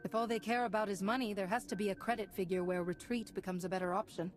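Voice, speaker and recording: Female actor as video game heroine, middle register, resolute, principled, firm delivery, clear music behind. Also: medium pitch, steady tone, fast talker, close to an everyday voice. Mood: confident, businesslike. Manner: firm, self-assured. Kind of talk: video game hero acting.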